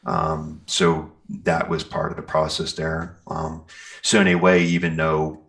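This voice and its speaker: Very deep, gravelly-voiced man chatting. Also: completely flat delivery, unhurried, a bit bright. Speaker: interview guest, metal band singer and guitarist. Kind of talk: casual interview chat.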